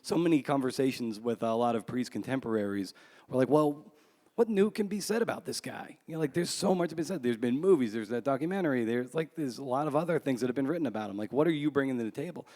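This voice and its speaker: A man's voice: medium pitch, animated, fast, warm tone. Man talking in an echoey hall. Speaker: author being interviewed. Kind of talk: conversational interview talk at a live event. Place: large room at a live book event. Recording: echoey hall with long reverb.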